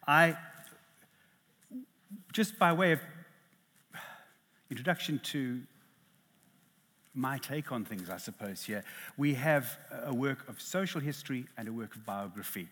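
A man's voice: medium pitch, lively intonation, a little slow, pauses often, fairly bright tone. A middle-aged South African man chairing a literary panel, self-deprecating and hesitant, with many restarts. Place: lecture hall. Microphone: headset. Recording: reverberant hall.

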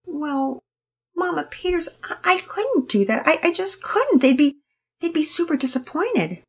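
A woman's voice: high-pitched, sing-song, a little slow, voice pushed up, heavily acted. A woman voicing a timid, people-pleasing young girl who is exhausted, stammering and out of breath. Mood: flustered. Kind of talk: storybook reading.

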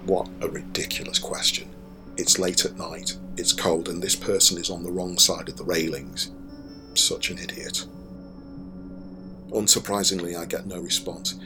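A man's voice: deep voice, steady tone, normal speed. An actor playing a young man who tells his own story in a confiding, wry radio drama monologue. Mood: anxious, grave. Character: young man narrating his walk home, wry and anxious. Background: music behind the voice.

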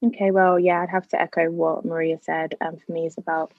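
A woman's voice: lowish voice, flat delivery, brisk, dry recording, phone-quality sound. A young woman from England, a student, speaking earnestly and passionately in a discussion. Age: young adult. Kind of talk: casual discussion.

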